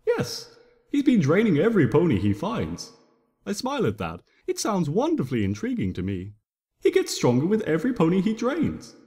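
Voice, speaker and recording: A man's voice: high-pitched, wildly swooping pitch, normal speed, dark tone. A man reading a story dramatically in the first person, in a playful, theatrical trickster manner. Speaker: fan fiction reader and narrator. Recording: reverberant room with long echo.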